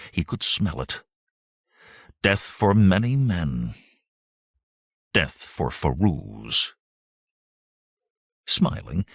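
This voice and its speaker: A deep-voiced man narrates heroic fantasy fiction. His reading is dramatic and scripted, with very lively swings in pitch, captured close and dry. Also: gravelly, no echo. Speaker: audiobook narrator.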